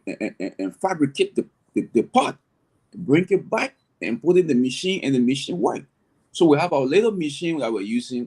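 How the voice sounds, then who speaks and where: Husky man with a Liberian accent. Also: mid-range voice, darkish tone. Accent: Liberian English. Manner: earnest, explains at length, frequent restarts and repeated words. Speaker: farmer and agribusiness owner, interview guest. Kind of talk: conversational interview answer.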